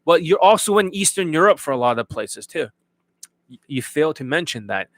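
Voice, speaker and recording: A man's voice: fairly high pitch, big pitch swings, normal speed, fairly bright tone, no room sound. A man coaching viewers on dating in a blunt, profane rant, often checking that his listeners follow. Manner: blunt, profane, repeatedly asks if viewers get it. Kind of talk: unscripted livestream rant to viewers.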